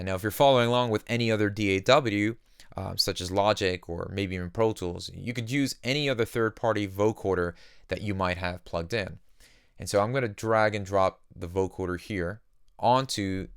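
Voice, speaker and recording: A man narrating a casual music software tutorial, explaining each step in simple terms as the host. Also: lowish voice, clear, resonant tone, no room sound, clean studio sound. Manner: explanatory, uses simple analogies.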